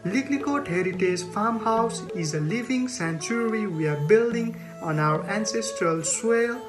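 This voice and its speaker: A woman from Nepal giving a scripted presentation, reading prepared text in a measured, formal way. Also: mid-range voice. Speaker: community organisation co-founder. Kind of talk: scripted presentation in a recorded video. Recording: plucked string music behind the voice.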